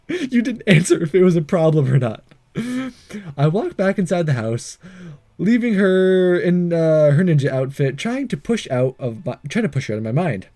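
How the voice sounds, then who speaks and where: Man, higher voice, animated intonation, mellow tone, casual let's-play commentary, hesitant wry asides. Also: normal speed. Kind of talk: casual game commentary, reading text aloud.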